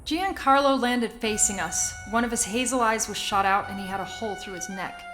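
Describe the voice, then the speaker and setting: A woman's voice: medium pitch, brisk, close to an everyday voice. An actress playing a young Sicilian woman who tells of her girlhood romance and terror in a literary, dramatic radio play. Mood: tense, haunted narration. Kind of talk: cinematic radio play narration and dialogue. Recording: loud soundtrack music behind voice. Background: music.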